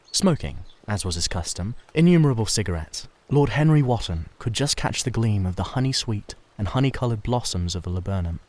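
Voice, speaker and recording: A man's voice: lowish voice, darkish tone. Man narrating a classic novel. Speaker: amateur book reader.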